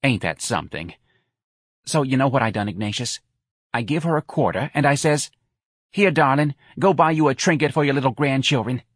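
A man voicing a sentimental, fussy older mother, talking in a high, pleading, chatty way. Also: lively intonation, fairly quick, slightly rough, no room sound, clean studio sound. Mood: proud. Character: fussy elderly working-class mother, sentimental.

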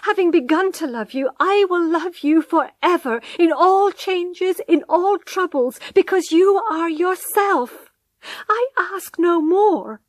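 A woman's voice: high-pitched, moderate pace, a bit bright, no room sound, voice pushed up. A woman voicing a distraught, devoted young wife in a dramatic story, pleading and tearful. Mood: anguished desperate. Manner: pleading, breathy whispers rising to anguished cries.

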